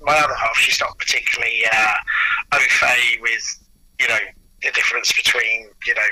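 Bright, husky man in casual conversation. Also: mid-range voice, little pitch movement, dry recording, poor audio. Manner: halting, repeats words as he starts sentences. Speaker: interview guest, audio producer and writer.

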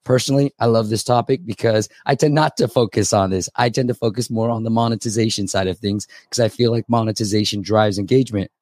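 A man hosting a podcast with a raspy voice, talking in an upbeat, chatty way with quick asides. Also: fairly low pitch, steady tone, darkish tone, no echo, clean studio sound. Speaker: podcast host. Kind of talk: casual interview.

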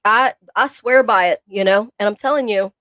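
A woman's voice: mid-range voice, moderate pace, a bit bright, dry recording, rough recording. A woman co-hosting a casual podcast chat, rambling and often groping for words. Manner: rambling, hunts for words, many fillers. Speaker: podcast co-host.

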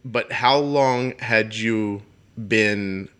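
A man's voice: on the low side, very slow, a bit bright, smooth. A man in his forties hosting a podcast, playful and self-deprecating, sometimes tripping over his words. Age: 40s. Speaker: podcast host. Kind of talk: podcast intro and interview.

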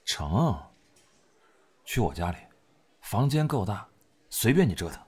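A man acting a cool, teasing young friend in an audio drama, with a husky, laid-back delivery. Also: on the low side, big pitch swings, a little slow, exaggerated voice. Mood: cool, smug.

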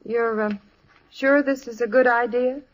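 An American actress playing a practical, gently worried middle-aged wife and mother in an old-time radio comedy. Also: a little high, fairly even intonation, measured pace, dull audio, lightly acted. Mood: doubtful. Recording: vintage radio recording, faint noise.

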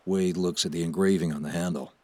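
A man's voice: low voice, fairly even intonation, fast, a little mellow. A man narrating screenplay action for an audio drama in a scripted read. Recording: music behind the voice.

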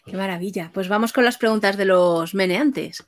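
A woman's voice: mid-range voice, normal speed. A woman interviewing guests casually and reading out audience questions.

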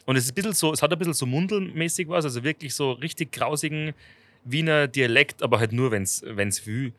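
A man's voice: medium pitch, brisk, sharp tone, no echo. A man co-hosting a casual podcast, rambling loosely, swearing casually and laughing often. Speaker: podcast co-host. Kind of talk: casual chat.

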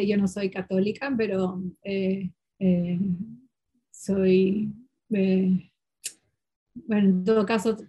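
Woman interview guest, Portuguese speaker; lively storyteller full of fillers and self-corrections. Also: mid-range voice. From Peru. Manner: lively, animated, many fillers and restarts. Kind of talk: casual conversational storytelling.

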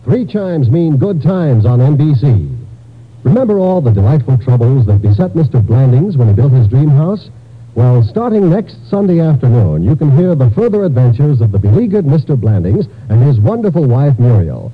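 A man's voice: medium pitch, sing-song, normal speed. A man working as a network announcer, reading scripted promotions in 1950s radio style. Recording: muffled old radio recording.

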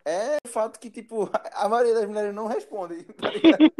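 A man's voice: sits high, lively intonation, moderate pace, crowd noise. A man hosting a casual podcast chat, laughing often and trailing off mid-sentence. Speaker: podcast host.

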